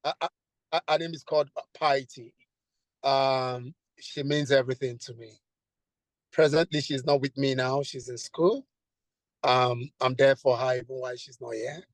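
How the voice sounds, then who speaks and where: A man's voice: mid-range voice, fairly even intonation, normal speed, no room sound, low-quality recording. A man answering in a casual interview, hesitant and repeating his words. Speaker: interview guest.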